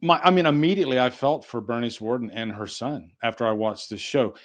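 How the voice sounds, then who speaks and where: Podcast host, male, average pitch, relaxed informal wording in a group discussion. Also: fairly quick. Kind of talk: casual chat.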